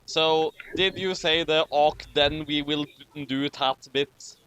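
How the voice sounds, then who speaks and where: A man's voice: on the high side, fairly even intonation, slow, fairly bright tone, clean tone, no echo. A man from Norway doing casual, joking commentary on a fan fiction readthrough over an online voice call. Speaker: commentator reading aloud.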